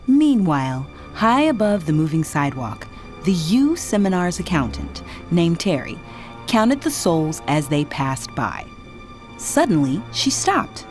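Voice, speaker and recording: A woman reading scripted narration for a children's read-along storybook in an expressive, dramatic way. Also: fairly low pitch, a little slow. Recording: music behind the narration.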